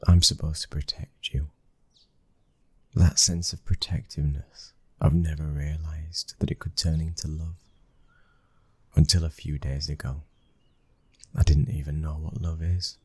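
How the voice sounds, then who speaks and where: A man with a British accent acting as a brooding, protective young mafia boss's son in an intimate ASMR boyfriend roleplay, speaking in a husky, hushed, intense way that often nears a whisper. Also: a bass voice, little pitch movement, a little slow, dry recording, full character voice. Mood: tender, vulnerable.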